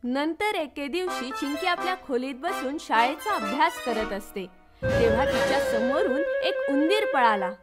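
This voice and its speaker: Children's story narrator, female, very high voice, scripted read over music. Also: animated, unhurried.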